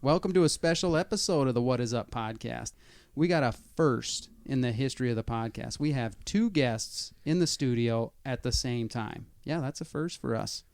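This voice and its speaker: Male podcast host: energetic, chatty, casual, recorded close and dry in a small studio. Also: mid-range voice, normal speed, creaky, no room sound. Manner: energetic, showman-like greeting.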